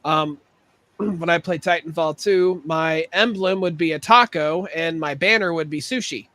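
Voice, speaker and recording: A man in casual conversation on a podcast, telling rambling stories and joking. His voice sits a bit higher than most men's. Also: pushed and bright. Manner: rambling, joking, quick to laugh. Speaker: podcast co-host. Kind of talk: casual chat.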